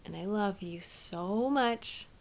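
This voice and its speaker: A woman playing a tender, doting girlfriend who soothes and gently coaxes her partner in an intimate one-sided roleplay. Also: mid-range voice, slow pace. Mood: tender, soothing. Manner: soothing, affectionate, gently coaxing, softly playful.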